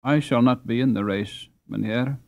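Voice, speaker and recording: A man's voice: mid-range voice, normal speed, gravelly, close to an everyday voice. A man voicing an earnest, polite and humble poor boy in a storybook, speaking respectfully. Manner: respectful, deferential.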